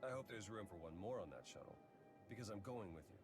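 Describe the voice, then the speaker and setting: A stubborn, plain-spoken man of action from a video game. His voice sits very deep and sounds loyal and defiant, with music clearly underneath. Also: expressive, fast talker. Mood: determined. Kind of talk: video game cutscene acting. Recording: music behind the voice.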